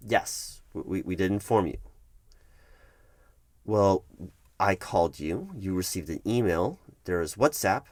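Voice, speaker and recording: A man acts out a patronizing HR manager in an ASMR roleplay. His voice is low and recorded dry and close, with little room sound. He explains things in a bureaucratic, insistent way, often restarting and repeating himself. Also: lively intonation, measured pace, long pauses, a little mellow, no echo. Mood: defensive, insistent. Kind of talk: one-sided ASMR roleplay, conversational.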